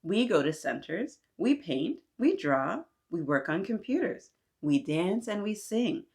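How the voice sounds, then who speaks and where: A woman voicing a lively female first-grade teacher, emphatic as she addresses a child in a storybook. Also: on the low side, measured pace. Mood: reassuring.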